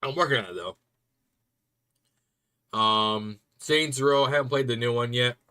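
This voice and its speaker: A young man rambling casually to viewers on a stream, chatty and quick to laugh. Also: mid-range voice, bright, forceful tone, smooth.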